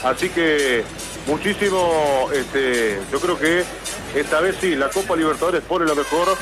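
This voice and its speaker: A man with a fairly high voice gives a sideline report for a radio football broadcast. He speaks informatively with occasional stumbles, and music plays clearly behind him. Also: measured pace.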